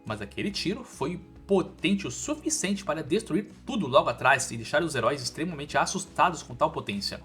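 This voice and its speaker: A man's voice: fairly high pitch, lively intonation, fairly quick, hardly any pauses, a bit bright. A man with a Brazilian accent narrating a scripted story recap in a colloquial, informal way with casual asides. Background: background music.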